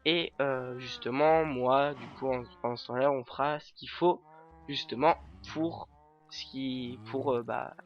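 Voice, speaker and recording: A man's voice: mid-range voice, steady tone, measured pace, lo-fi sound. A man hosting a let's play with a stuffy nose from a cold, talking through his game in hesitant, filler-heavy rambles. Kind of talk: casual gameplay commentary.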